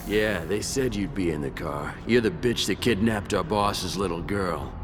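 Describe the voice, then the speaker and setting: An actor playing a cocky, threatening mercenary squad leader in a video game, taunting and tough-talking. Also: male voice, deep voice, normal speed, noisy background, natural voice. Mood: smug menace.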